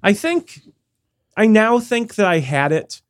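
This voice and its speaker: A man co-hosting a casual podcast chat, congested and tired, talking in a rambling, halting way with many fillers. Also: high voice, sing-song, smooth, no echo, clean studio sound. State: congested, recovering from illness. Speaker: podcast co-host.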